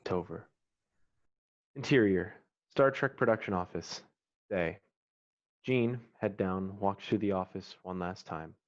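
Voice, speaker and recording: A man reads screenplay stage directions as a scripted narrator. His voice sits fairly low, and the recording is a little dull and lo-fi, like an online call. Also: slow, long pauses.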